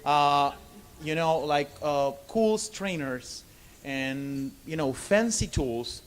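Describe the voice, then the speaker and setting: A man from Argentina with a Spanish accent, giving an informal lecture in halting English and often checking in with "okay?". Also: fairly high pitch, lively intonation. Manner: halting non-native English, frequent "okay?" check-ins. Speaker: bartender giving a seminar. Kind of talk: informal lecture to a live audience.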